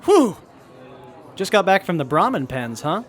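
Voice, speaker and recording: An actor playing an earnest young tinkerer in a radio drama, lively and explanatory. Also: male voice, high-pitched, measured pace.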